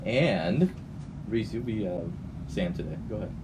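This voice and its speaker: A man's voice: lowish voice, lively intonation, a little slow. A man hosting a radio show with casual, jokey and teasing banter. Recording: noisy room, distant mic.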